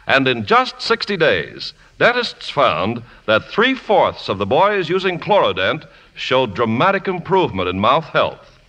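A man's voice: mid-range voice, pushed and bright. A man announcing on 1950s radio, reading scripted ads and credits with polished, emphatic salesmanship. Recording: dull, reverberant 1950s radio recording.

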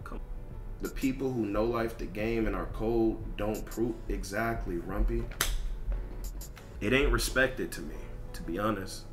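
A man's voice: fairly low pitch, measured pace, faint music behind. A man ranting casually as a gaming streamer, blunt, emphatic and repetitive, with lots of slang and swearing. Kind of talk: casual livestream rant over gameplay.

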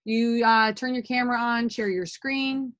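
A woman's voice: on the high side, steady tone, measured pace, a bit bright, dull audio. Upbeat woman teaching over a video call. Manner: casual, upbeat, with many fillers. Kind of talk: online class instruction. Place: home room with art on walls. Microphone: laptop webcam video call.

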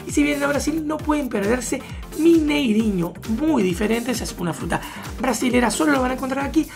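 A woman narrating a travel vlog voice-over, briskly listing supermarket prices one after another. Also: fairly high pitch. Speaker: travel vlogger. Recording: voice-over with music underneath.

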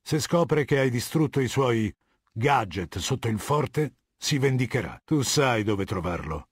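Video game warlord, middle-aged. Husky, imperious, measured authority; dry studio sound. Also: male voice, mid-range voice, animated, dry recording. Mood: cold, commanding. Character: cold, commanding middle-aged male warlord of a legion. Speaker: voice actor.

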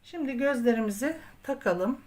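A woman's voice: a little high, lively intonation, normal speed, darkish tone. A woman hosting a crochet tutorial, explaining step by step in a patient, methodical way. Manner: patient, methodical, often counting aloud. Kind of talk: step-by-step craft instruction.

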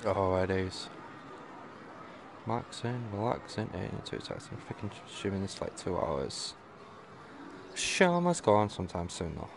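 A man's voice: deep voice, expressive, moderate pace, gravelly, long pauses, noisy background. A man casually muttering to himself with mild swearing while live-streaming a game.

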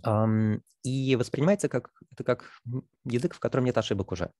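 Fast-talking man giving a lecture. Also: mid-range voice, mellow, no echo, clean studio sound. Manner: thinking aloud with frequent fillers and restarts.

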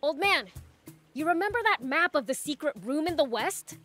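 A woman's voice: sits high, lively intonation, normal speed, fairly bright tone, soft background music. A woman voicing a cocky, impatient young boy demon prince in a video game, brash and scrappy. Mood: curt. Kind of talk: animated video game cutscene acting.

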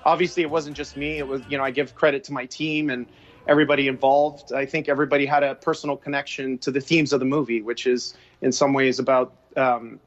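A man's voice: mid-range voice, level tone, fast talker. A man in his forties with a Canadian accent, a film director speaking as a guest over a video call from a room at home, talking reflectively with many restarts. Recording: dull lo-fi video call audio.

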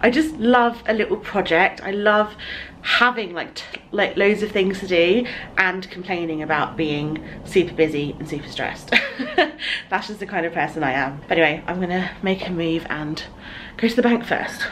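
Woman, thirties, vlogger, low-ish chatty voice, tired, handheld camera, light background hiss. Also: moderate pace, fairly bright tone, poor audio. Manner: chatty, breathless lists of errands. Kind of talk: casual vlog chat to camera. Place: bedroom at home, later a parked car. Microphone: handheld compact camera at arm's length.

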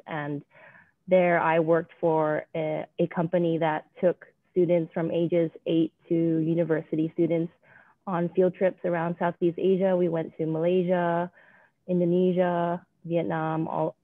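A woman in her twenties from Hong Kong, introducing herself on a video call in a relaxed, steady way with frequent ums. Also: low-pitched, no echo. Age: 20s. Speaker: panelist. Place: small room indoors. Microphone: earbuds on a video call. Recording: tinny, phone-like call audio.

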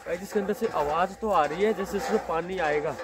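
A young man vlogging a ghost challenge on a dark rural road at night, talking excitedly to his viewers from the road and from inside a car. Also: high voice, brisk, very noisy. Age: young adult. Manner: excited, addresses viewers as guys. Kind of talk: ghost-hunting night vlog.

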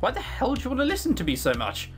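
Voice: sits high, wildly swooping pitch, fast, voice pushed up. A let's player plays a young traveller boy from a game, tired and on guard. He talks bluntly in a folksy, defiant way, with music behind him. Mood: dismissive.

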